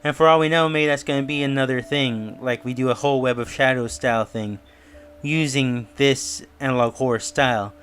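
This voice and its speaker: A man's voice: mid-range voice, fairly even intonation, normal speed, fairly bright tone. A man giving a casual review and theory commentary in a musing, speculative way. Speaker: YouTube reviewer. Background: music.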